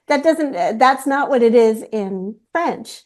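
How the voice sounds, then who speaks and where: Woman, webinar host, fairly high voice, rambling, chatty. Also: animated, slow pace, darkish tone. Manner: rambling, self-correcting, chatty. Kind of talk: casual panel discussion.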